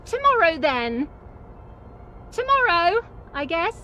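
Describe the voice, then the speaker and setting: A woman's voice: very high-pitched, big pitch swings, slow pace, bright, forceful tone, highs cut off, slight background noise, heavily acted. An actress playing a timid, polite female committee official in a video game. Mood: hesitant, polite.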